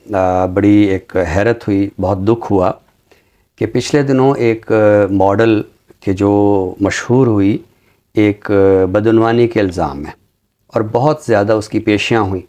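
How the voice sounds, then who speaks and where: Middle aged pakistani guy, deep soft-edged mellow voice, talks formal and careful like a literary commentator. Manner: measured, formal, deliberate with literary vocabulary. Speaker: talk show presenter and literary commentator. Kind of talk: reflective commentary and poetry recitation on a literary show.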